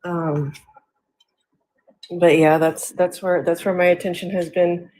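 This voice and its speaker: An American woman with a slightly raspy, under-the-weather voice, chatting hesitantly as a livestream guest and repeating her phrases. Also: lowish voice, flat delivery, slow pace, darkish tone, a little hiss or hum, poor audio. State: recovering from sickness, throat-clearing. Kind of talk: casual political chat.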